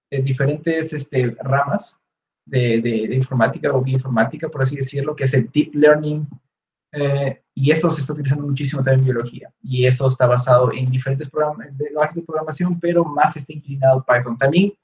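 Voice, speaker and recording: A young man with a Peruvian Spanish accent, relaxed and explanatory, answering questions in an online talk with many fillers and restarts. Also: medium pitch. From Peru. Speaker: guest speaker, researcher. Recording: echoey room, dull lo-fi audio.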